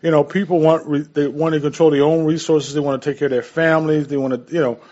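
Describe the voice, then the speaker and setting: A man's voice: on the high side, little pitch movement, fast talker, mellow, muffled recording. An American man co-hosting a radio show, talking casually and earnestly in short, repetitive phrases. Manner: repetitive, piecing points together in short phrases.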